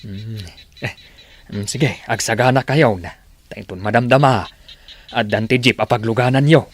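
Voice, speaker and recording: An actor playing a fond, protective middle-aged father in a radio drama, speaking haltingly as he admonishes. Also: medium pitch, close to an everyday voice. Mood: resigned, fond.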